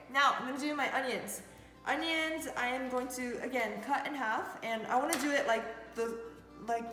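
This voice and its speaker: A woman talking casually through a cooking tutorial in a kitchen, chatty and loose with lots of fillers. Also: fairly high pitch. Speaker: cooking vlogger. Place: home kitchen. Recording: echoey room with background music.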